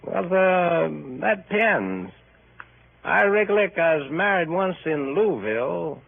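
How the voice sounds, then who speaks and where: A man playing an easygoing, deferential servant with a Southern US accent and a gravelly, husky voice in old radio comedy. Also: high-pitched, big pitch swings, slow pace, heavily acted. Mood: musing. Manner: folksy, deferential, musing. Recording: tinny old radio broadcast.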